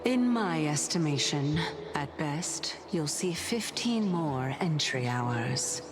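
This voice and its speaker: A woman playing a composed, otherworldly watcher over the dying in a fantasy game, speaking calmly and formally. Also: sits low, takes it slowly. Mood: calm, clinical. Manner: calm, formal. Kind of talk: dramatic game dialogue. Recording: loud music behind the voice.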